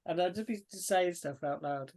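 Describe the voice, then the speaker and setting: A man's voice: sits high, normal speed, darkish tone. A man, the game master of a tabletop game, chatting casually between scenes and laughing often.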